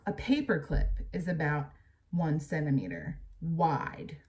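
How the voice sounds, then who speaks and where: A woman's voice: low-pitched, big pitch swings, unhurried, a little mellow. An American woman reads a lesson aloud as a teacher, adding plain explanatory asides addressed directly to children. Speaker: teacher reading a book aloud to pupils.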